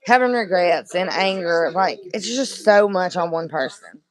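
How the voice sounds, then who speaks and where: A woman livestreaming casual chat to her viewers, hoarse from screaming, rambling and apologetic. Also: lowish voice, lively intonation, unhurried, poor audio.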